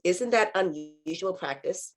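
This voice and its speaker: A woman's voice: fairly low pitch, unhurried, natural voice. A woman playing a skeptical news reporter in a script read, asking pointed questions. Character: persistent, skeptical news reporter confronting a politician. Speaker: actor in a virtual table read. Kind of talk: screenplay table-read acting.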